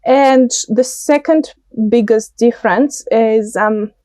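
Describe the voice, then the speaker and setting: Female interview guest, Russian accent, soft mellow tone, close dry recording, conversational and halting. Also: on the high side, dry recording. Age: late 20s. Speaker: interview guest, political candidate.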